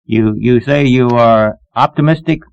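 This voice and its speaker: A man's voice: mid-range voice, unhurried, creaky, clean tone, no room sound, lo-fi sound. A man moderating a panel interview in a conversational, wry, prompting way, on an old acetate disc recording.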